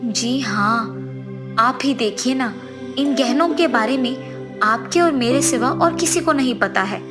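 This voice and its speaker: A remorseful ghost wife played by a woman storyteller in a cartoon moral tale. The voice is high and unhurried, earnest and pleading, with anxious politeness over a clear music bed.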